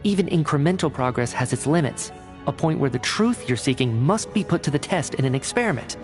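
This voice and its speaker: Cool, analytical male scientist, higher-pitched , an actor. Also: expressive, brisk, over music, close to an everyday voice. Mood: explanatory. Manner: precise, analytical, matter-of-fact. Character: young male scientist, cool, rational, articulate. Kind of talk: video game voice acting, expository dialogue.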